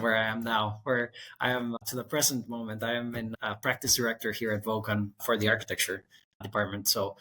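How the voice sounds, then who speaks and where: A man in his thirties from Costa Rica, an architect guest telling his career story in a relaxed, winding way. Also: medium pitch. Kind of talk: conversational interview.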